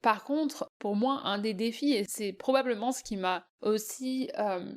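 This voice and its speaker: A woman's voice: medium pitch, a little slow. A French woman from southeast France talking casually in a podcast, friendly and conversational, with frequent hesitations. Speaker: podcast host.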